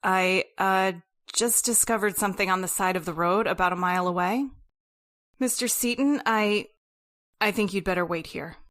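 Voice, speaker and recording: An actress playing a serious, guarded doctor in an old-time radio horror play, measured and a little hesitant. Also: female voice, mid-range voice, a bit bright, lightly acted.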